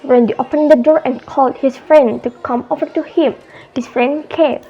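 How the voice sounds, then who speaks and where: A woman's voice: sits high, fairly even intonation, moderate pace, dark tone, dull audio. A young adult woman with an Indonesian accent reading scripted narration for a radio drama.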